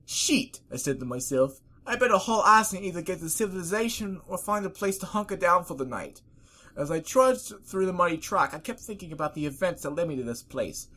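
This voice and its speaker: Someone doing an annoying, high, melodramatic traveller. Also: lively intonation, brisk. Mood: weary complaint. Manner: loud, exaggerated, melodramatic. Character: overwrought, put-upon annoying story narrator, wounded traveller.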